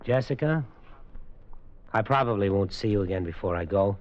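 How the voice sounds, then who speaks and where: A man's voice: normal speed, close to an everyday voice. An actor playing a thoughtful man with trained super senses, narrating and talking in a 1950s radio drama. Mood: resigned. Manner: earnest, thoughtful, lively in pitch. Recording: dull old radio broadcast with faint music.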